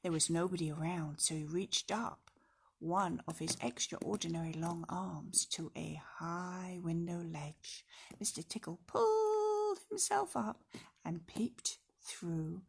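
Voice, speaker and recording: A woman reading a children's storybook aloud in an expressive way, speaking directly to young listeners. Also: lowish voice, takes it slowly, creaky, breathy edge. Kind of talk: storybook read-aloud with casual asides.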